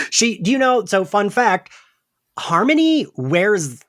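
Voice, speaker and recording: A man co-hosting a casual podcast chat, animated and quick to laugh, with lots of fillers. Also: high-pitched. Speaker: podcast co-host.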